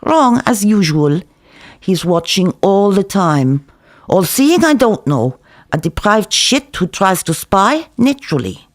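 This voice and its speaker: A man's voice: high voice, very animated, slow, dry recording, studio quality, heavily acted. A man voicing a world-weary, hectoring Israeli kebab-shop worker who lectures and cajoles emphatically. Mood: scornful.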